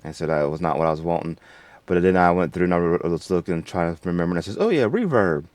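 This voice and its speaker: Man, tutorial host, deep voice, casual, unscripted, rambling, folksy, dry close recording. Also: flat delivery, quick pace, a little mellow, clean tone, no echo.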